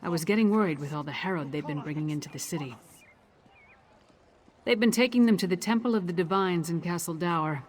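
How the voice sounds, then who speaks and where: A blunt woman's voice acting out a gruff warrior companion in a fantasy game, over faint ambient noise. She is wry and plain-spoken. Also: lowish voice, brisk, lightly acted. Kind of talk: video game character acting.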